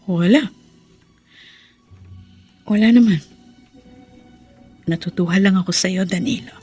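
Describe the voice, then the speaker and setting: A sorrowful pregnant mother in a radio drama, speaking softly and wearily. Her voice is breathy and a little husky. Faint music plays beneath her. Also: mid-range voice, slow. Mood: tender. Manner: soft and weary.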